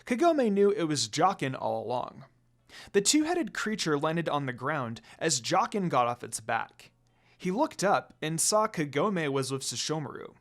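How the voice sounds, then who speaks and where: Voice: mid-range voice, sing-song, measured pace. A narrator reading a scripted fan fiction story aloud.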